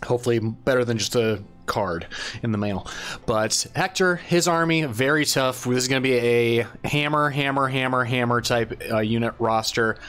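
A man's voice: medium pitch, moderate pace, fairly bright tone, smooth, soft background music. A man hosting a gaming channel, giving casual commentary and explaining a strategy game step by step, unsure of how to say some names.

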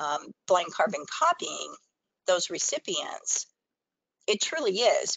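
A woman's voice: medium pitch, gravelly, a bit bright, no room sound, poor audio. A woman presenting a training webinar, explaining things step by step in an instructional screen-share style.

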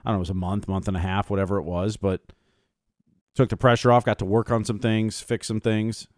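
A man's voice: lowish voice, flat delivery, fairly quick, darkish tone, dry recording, studio quality. A man co-hosting a sports podcast, casually chatting and handling listener questions.

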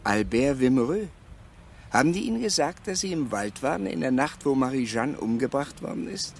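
Sly, wheedling village poacher. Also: male voice, mid-range voice, very animated, moderate pace, creaky, slight background noise. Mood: sly, insinuating. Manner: wheedling, evasive, hesitant. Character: sly, ingratiating male village poacher, evasive petty crook. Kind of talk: German radio crime drama acting.

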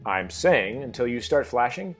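A man's voice: medium pitch, very animated, moderate pace, soft background music. Stern male spy agent, businesslike, blunt, serious, scripted drama read.

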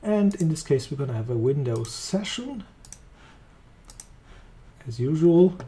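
A man with a German accent walking through a software demo, talking casually as he clicks along. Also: a little high, a little slow. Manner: relaxed, thinking aloud while clicking. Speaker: software trainer. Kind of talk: screen-recorded software demo.

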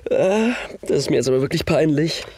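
A man's voice: on the high side, animated, a little fast, a crowd in the background, close to an everyday voice. A man playing a muddled, stammering announcer in a comic audio drama sketch. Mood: flustered, sheepish. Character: flustered, muddled male announcer.

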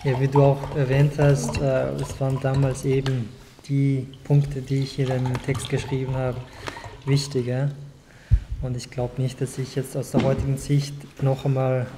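A man in his twenties from Afghanistan, a native Dari speaker, reading his own text aloud in a calm, measured way at a panel table in a small club room. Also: medium pitch, background noise. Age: 20s. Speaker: guest author. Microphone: table mic on a short stand.

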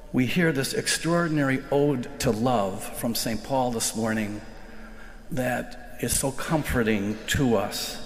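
Older American priest at a lectern, earnest and reflective homily, mid-pitched voice, roomy church reverb. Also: male voice. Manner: earnest, reflective, slows to stress key phrases. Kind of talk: sermon. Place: large church sanctuary, indoors. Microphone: lectern microphone. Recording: echoey church livestream with long reverb.

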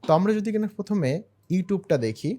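Fast-talking man narrating a tutorial. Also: high-pitched, lively intonation, mellow. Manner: step-by-step, explanatory. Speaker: tutorial host. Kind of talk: screen-share software tutorial narration.

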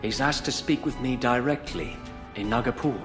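A man's voice: medium pitch, normal speed, lightly acted. A man acting a proud, idealistic young lord in a game cutscene, speaking formally. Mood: composed. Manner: formal, composed, impassioned about his country. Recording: hall reverb, music behind.

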